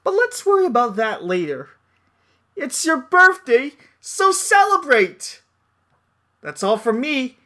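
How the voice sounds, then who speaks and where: A man's voice: very high voice, big pitch swings, takes it slowly, pushed and bright. A man speaking as host in a cheerful birthday message. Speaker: host, dub organizer.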